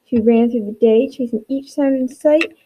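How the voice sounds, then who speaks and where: A woman's voice: fairly high pitch, moderate pace, mellow, a little hiss or hum, rough recording. A woman teacher giving an instructional read-aloud for students, encouraging them with guiding questions.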